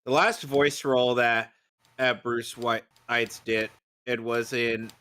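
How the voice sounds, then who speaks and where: A man with a bright, cutting voice of average pitch talks casually as a show host. He comments along in a loose, conversational style. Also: level tone, slow pace, smooth.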